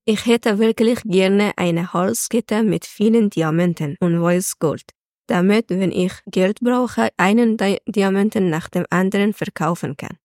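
A woman's voice: medium pitch, unhurried, darkish tone, dry recording, studio quality. A woman hosting a podcast with prepared talk. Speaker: podcast host.

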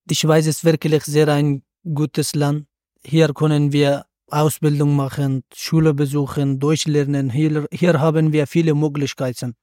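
A man's voice: on the high side, little pitch movement, unhurried, warm tone, dry recording, clean studio sound. A man, a student guest giving earnest, prepared answers on a school podcast. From Afghanistan. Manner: earnest, reads prepared sentences with some fillers.

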